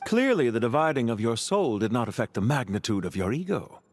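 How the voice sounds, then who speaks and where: Guy doing a smooth-talking calculating banker villain for a game, dry and polite, pitch moves around a lot. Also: very animated, a little hiss or hum, lightly acted. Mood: wry, teasing. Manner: suave, sardonic, measured politeness. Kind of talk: video game character acting.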